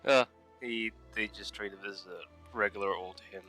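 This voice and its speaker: A woman's voice: rumbling low, fairly even intonation, normal speed, a bit bright, soft background music. A woman giving casual, offhand, joking commentary while playing a video game. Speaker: streamer reacting to game.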